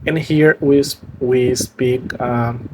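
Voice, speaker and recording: Brazilian guy, portuguese accent, talks real slow with lots of uhs, bit of background hiss. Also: mid-range voice, steady tone, dark tone, low-quality recording. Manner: hesitant, many fillers and restarts. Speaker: language vlogger. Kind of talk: casual talk to camera.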